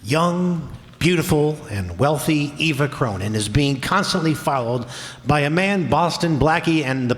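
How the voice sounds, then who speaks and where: Male radio drama announcer in echoey hall. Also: mid-range voice. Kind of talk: scripted radio drama narration.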